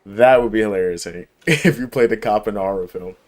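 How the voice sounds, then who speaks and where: A man with a fairly low voice chats casually as he streams a game. His talk is informal and full of slang and swearing. He gets enthusiastic and emphatic, often repeating a phrase to drive it home. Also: brisk. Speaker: livestreamer. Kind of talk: casual chat while gaming.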